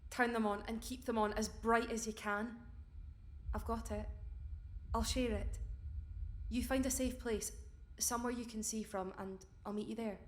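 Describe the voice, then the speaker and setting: A woman's voice: on the high side, steady tone, normal speed, long pauses, big-room sound, lightly acted. An actress playing a brave, steadfast woman of the resistance in an audio drama, earnest and reassuring. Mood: earnest, determined. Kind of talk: fiction podcast audio drama acting.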